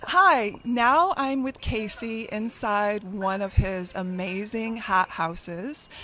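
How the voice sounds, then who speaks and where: A woman's voice: mid-range voice, a bit bright. A woman from upstate New York, an agriculture education coordinator, hosting a farm interview in an upbeat, enthusiastic way. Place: outdoors on a farm, then inside a greenhouse.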